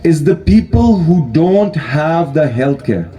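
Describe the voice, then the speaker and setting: A man's voice: a little high, expressive, slow pace, dark tone. A man from Pakistan giving an earnest speech at a hospital opening ceremony, thanking donors and calling for applause. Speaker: charity speaker at opening ceremony. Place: outdoor ceremony.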